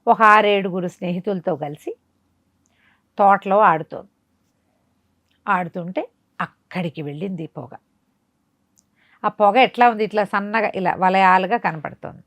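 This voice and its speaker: A woman telling a folk moral tale in a conversational, dramatic way, pausing for asides and rhetorical questions. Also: mid-range voice, fairly bright tone, no echo. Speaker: storyteller. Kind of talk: animated oral storytelling of a folk moral tale.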